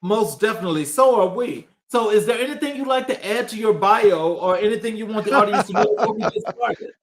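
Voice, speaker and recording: A man's voice: high voice, expressive, brisk. A man hosting an interview show, reading a formal guest introduction in a list-like way and stumbling over fillers in conversation. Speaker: show host.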